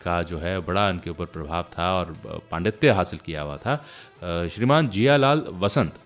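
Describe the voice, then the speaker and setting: A man's voice: low voice, normal speed. A man hosting a radio show, telling stories in a chatty, conversational way and addressing listeners directly with rhetorical questions. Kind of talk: conversational radio storytelling between songs.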